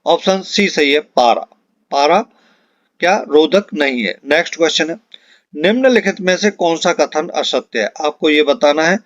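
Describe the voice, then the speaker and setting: A male teacher with a moderately high voice reads out multiple-choice questions and their answers. He is instructional and repeats key phrases for emphasis. The sound is close and dry, with a somewhat muffled, lo-fi tone. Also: lively intonation, normal speed, fairly bright tone, dry recording. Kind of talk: exam-prep lesson reading out multiple-choice questions and answers. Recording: dull, lo-fi close dry recording.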